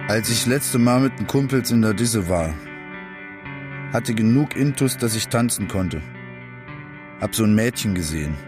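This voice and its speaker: Working-class young man, low-pitched, colloquial, clipped phrasing, radio play monologue, guitar music behind. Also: animated, a little slow, long pauses. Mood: wistful, plain. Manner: colloquial, clipped short sentences. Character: rough working-class young man recalling a tender disco encounter.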